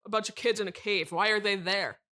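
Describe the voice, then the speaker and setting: A woman playing a haughty, eccentric horror writer in a comedy interview, deadpan, condescending and mocking. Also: medium pitch, fairly quick, close to an everyday voice. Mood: scornful, deadpan. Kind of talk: improvised mock interview comedy.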